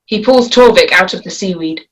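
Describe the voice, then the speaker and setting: A woman's voice: fairly low pitch, brisk, poor audio. A woman reading stage directions as scripted narration for a screenplay.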